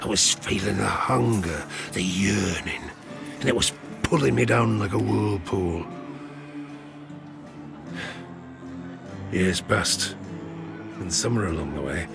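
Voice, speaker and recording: A gravelly, rueful old sea dog. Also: male voice, on the low side. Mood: wistful. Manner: slow, rueful storytelling with colloquial dialect. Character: ruined old sailor gambler, rueful tale-spinner. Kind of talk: dramatic first-person tall tale in audio drama. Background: music.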